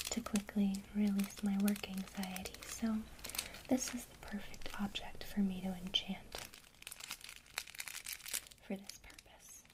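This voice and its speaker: Woman, ASMR guide, unhurried soft whisper, faint crinkle behind. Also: medium pitch, noisy background. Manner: soft unvoiced whisper, slow and calm. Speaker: ASMR creator teaching witchcraft.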